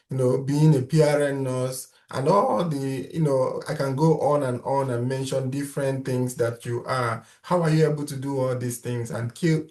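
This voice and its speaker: A man's voice: mid-range voice, a little mellow. A man hosting a casual interview, asking long, rambling questions and often checking whether he is understood. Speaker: interview host. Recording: echoey room.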